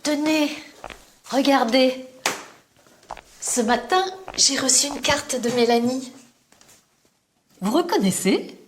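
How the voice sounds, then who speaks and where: An actress playing a friendly landlady in a scripted textbook dialogue, lively and hospitable. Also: high-pitched, slow, long pauses, slight background noise. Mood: cheerful. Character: Madame Dumas, a friendly landlady. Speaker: landlady character.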